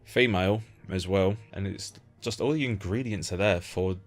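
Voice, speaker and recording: A British man interviewing a guest in casual fan chat, blunt and informal, with some swearing. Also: moderate pace. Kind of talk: casual chat between fans. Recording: low-budget recording with music underneath.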